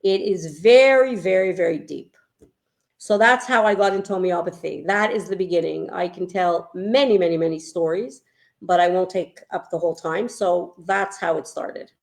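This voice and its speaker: A woman's voice: on the low side, normal speed, vocal fry. An older woman with a Canadian accent, a homeopath guest chatting over a video call, telling stories with enthusiasm and repeating words for emphasis. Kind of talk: casual chat.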